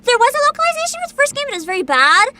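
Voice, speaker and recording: A woman hosting a livestream, chatting casually with viewers in an excitable, bubbly, giggly manner. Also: very high voice, racing. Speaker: livestreamer host.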